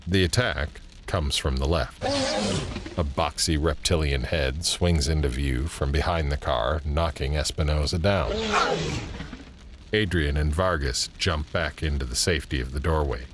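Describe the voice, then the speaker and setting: A man narrating a scripted audio drama in a measured, descriptive way. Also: extremely low pitch. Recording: background ambience and noise.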